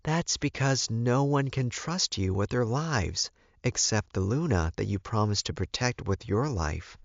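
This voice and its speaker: Calm older she-wolf mother played by a male reader, pitched up, measured and firm, audiobook, slightly dull sound. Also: vocal fry, no echo. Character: werewolf mother, older woman, calm, firm, counseling her son. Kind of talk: audiobook character dialogue.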